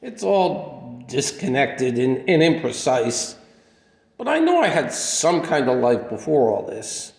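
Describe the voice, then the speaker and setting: A man playing an aging, modest, wistful drifter in a radio play, speaking in a halting, plainspoken, reflective way. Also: mid-range voice, very animated, gravelly, a little mellow, exaggerated voice. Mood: wistful, puzzled. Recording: echoey hall-like reverb.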